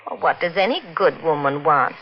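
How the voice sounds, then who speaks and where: An actress playing a shrewd, coarse widow who blackmails a couple, with folksy ungrammatical speech, on an old radio drama. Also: female voice, low voice, expressive, normal speed, a little hiss or hum. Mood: sly. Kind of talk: 1950s radio drama acting. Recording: dull vintage radio broadcast.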